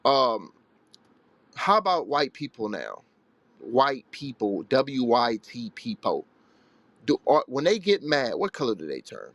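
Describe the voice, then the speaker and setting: A man's voice: mid-range voice, sing-song, unhurried, a bit bright, no echo. A man hosting a live religious call-in show, answering callers in a blunt, rhetorical, streetwise way.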